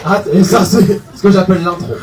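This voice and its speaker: A man's voice: high voice, steady tone, fast. A man roasting someone live as a comedian, crude and teasing. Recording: loud crowd and noise, distant microphone.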